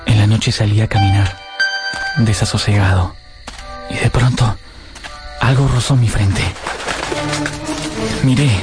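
A man's voice: lowish voice, unhurried, long pauses, loud music behind the voice, close to an everyday voice. An actor playing a tender, melancholy young man in love, narrating in a radio drama.

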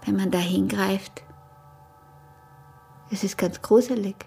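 An actress with an Austrian accent playing a smuggler's wary, teasing peasant wife in a radio drama, her voice breathy and husky. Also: medium pitch, fairly even intonation, slow pace, warm tone, soft background music, heavily acted. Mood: teasing, flirtatious. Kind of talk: intimate radio drama acting in dialect.

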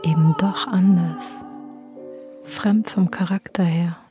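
Sly society gossip; high male voice, clipped insinuations, loud music behind. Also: steady tone, takes it slowly. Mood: insinuating, uneasy. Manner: clipped insinuating phrases, sometimes hushed. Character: gossiping, prejudiced society guest, sly and uneasy. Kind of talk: radio play acting. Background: loud operatic music.

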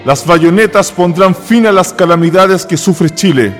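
An actor playing a resolute patriot military commander in a historical radio drama, speaking in a solemn, declamatory way. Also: male voice, high-pitched, measured pace. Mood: resolute, defiant. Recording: long reverb, music behind.

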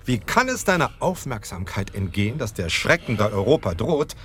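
A man acting in a German radio play as an analytical, dryly ironic detective who speaks in measured, formal, condescending phrases. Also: on the low side, very animated, fairly bright tone. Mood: grave, emphatic.

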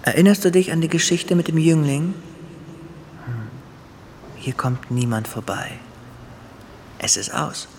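An actor playing a sadistic, mocking crime boss in a radio play, musing theatrically with little chuckles. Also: male voice, a little high, animated, a little slow, long pauses, darkish tone, a little hiss or hum. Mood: gloating, musing. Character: sadistic male crime boss gloating over his dying victim.

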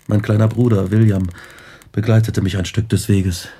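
An actor playing an earnest, ambitious young student in a radio drama, speaking in clearly phrased dialogue and narration. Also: male voice, on the low side, little pitch movement, moderate pace, dark tone. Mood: reflective. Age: young adult. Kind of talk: radio drama acting, with first-person narration.

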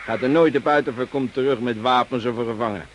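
An actor in a Dutch radio play playing a gruff, commanding cavalry officer who barks orders and questions. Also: male voice, mid-range voice, quick pace, heavy background noise, lightly acted. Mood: amused. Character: brisk, commanding male cavalry officer, gruff but amused. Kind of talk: Dutch radio drama acting.

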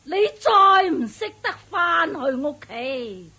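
A woman's voice: extremely high pitch, sing-song, bright, forceful tone, heavily acted. A woman playing a shrewish, domineering and vengeful witch in a radio drama, nagging and taunting in Hong Kong Cantonese. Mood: spell-casting, frenzied. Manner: nagging, taunting, drawn-out emphatic delivery. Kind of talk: theatrical radio drama acting.

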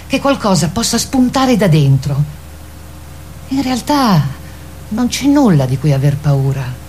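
Mellow theatrical narrator, faint noise. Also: mid-range voice.

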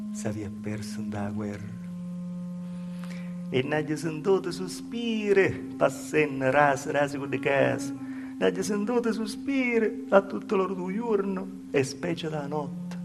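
A male actor with a Calabrian accent playing a humble peasant woman, telling her village past in a plaintive, folksy, repetitive stage monologue. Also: fairly high pitch. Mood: mournful. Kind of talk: theatrical dialect monologue over live music. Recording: loud live music behind the voice. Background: live music.

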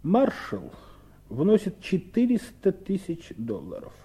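Stern calculating man, husky mellow voice, swinging intonation, scolding, radio drama. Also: sits high, wildly swooping pitch, slow, exaggerated voice. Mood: explaining, insistent. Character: stern, impatient husband and calculating businessman brother. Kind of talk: 1970s Soviet radio play acting.

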